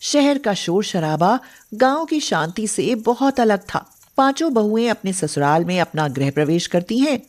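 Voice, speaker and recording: Mid-pitched woman storyteller. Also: big pitch swings, measured pace, a little mellow. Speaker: narrator. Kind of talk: scripted story narration.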